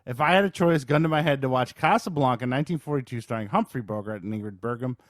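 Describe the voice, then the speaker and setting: A man's voice: medium pitch, fast talker, no echo, clean studio sound. A man chatting casually as co-host of a movie podcast, informal and blunt in the way he puts things. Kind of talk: casual chat.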